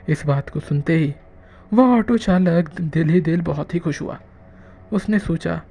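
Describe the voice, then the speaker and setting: Horror story narration, mellow tone, vocal fry, dramatic pauses, soft background music. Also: medium pitch. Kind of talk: scripted horror story narration in a Hindi cartoon video.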